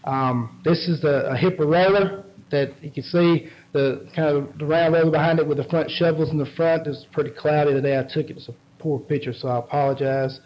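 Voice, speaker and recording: A man's voice: fairly high pitch, fairly even intonation. Man with a stuffy head cold. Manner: halting, frequent ums and restarts. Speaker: agricultural researcher presenting. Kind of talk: conference talk with slides. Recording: dull lo-fi audio.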